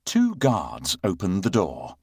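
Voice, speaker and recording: Very animated, slow-paced male storyteller. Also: sits low, husky, no room sound, clean studio sound. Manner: dramatic, expressive, deliberate phrasing. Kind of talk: animated children's read-aloud narration.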